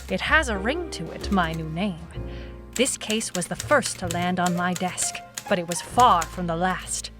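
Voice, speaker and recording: A woman's voice: medium pitch, lightly acted. An actress playing a tough, defiant female private eye, narrating a noir audio drama in a cool, clipped manner.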